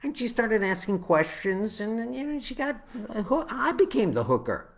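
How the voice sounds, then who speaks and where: A man reading his own spoken-word poem, with halting, deliberate phrasing that moves between dramatic swells and hushed drops. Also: high voice, very animated.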